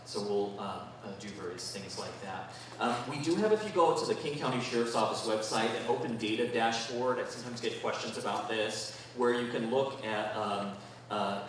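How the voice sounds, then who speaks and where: Brief: male deputy, lowish voice, chatty meeting report full of ums, picked up far from the mic. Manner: conversational, many fillers, reads out numbers slowly. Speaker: sheriff's deputy giving a community report. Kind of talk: informal community meeting report. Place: community meeting room, recorded from across the room. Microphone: distant room microphone. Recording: echoey meeting room, distant mic, faint noise.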